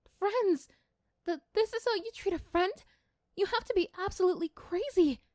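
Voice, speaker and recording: A woman acts out a shy young female class president in a high-pitched voice. She is kind, polite and easily flustered, speaking hesitantly in a romantic audio roleplay, recorded close and dry. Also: moderate pace, a little mellow, no room sound. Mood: upset, pleading.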